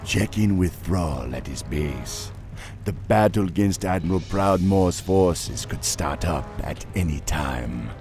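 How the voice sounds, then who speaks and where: Troll scout, deep, slow, loose dialect grammar, loyal, game cutscene, faint music. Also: male voice, lively intonation, exaggerated voice. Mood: respectful, matter-of-fact. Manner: dialect grammar with dropped verbs. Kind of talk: video game cutscene acting.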